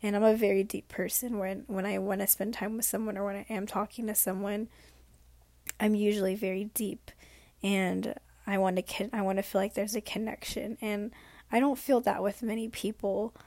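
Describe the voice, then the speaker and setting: A sick young woman who is losing her voice talks in a rambling, reflective way in a late-night personal vlog. She is hoarse and sometimes drops into a whisper. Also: mid-range voice, flat delivery, normal speed, a little mellow, dry recording. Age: young adult. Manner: rambling, reflective, many fillers.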